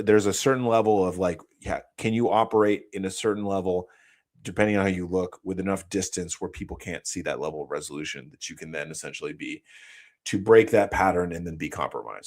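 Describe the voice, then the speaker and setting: Low-voiced man from the US, former operator, laid-back storyteller in a live interview. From United States. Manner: slow, explanatory storytelling with frequent self-corrections. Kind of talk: casual podcast interview.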